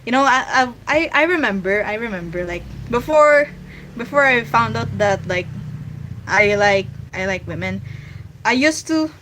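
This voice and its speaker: Filipino woman streamer, bright and a little high, casual rambling chat, faint hiss. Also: animated, a little slow. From Philippines. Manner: chatty, rambling with many restarts. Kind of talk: casual livestream chat while drawing.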